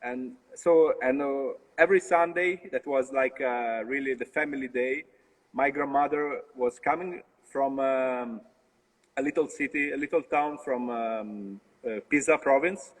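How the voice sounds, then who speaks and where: A young Italian man speaks in a hesitant, filler-heavy way, often restarting his words as he searches for the right ones. His voice sits at a middle pitch and carries a long reverb, as if from a bare room on a video call. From Italy. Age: young adult. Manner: halting, many fillers and restarts. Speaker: brewer, guest. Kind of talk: casual interview over a live stream.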